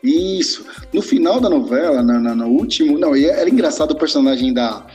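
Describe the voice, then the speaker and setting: A man's voice: a little high, animated, brisk, a little mellow, faint music behind. A man from São Paulo, chatting casually and rambling as a podcast co-host, swearing freely. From São Paulo, Brazil. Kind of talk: casual chat.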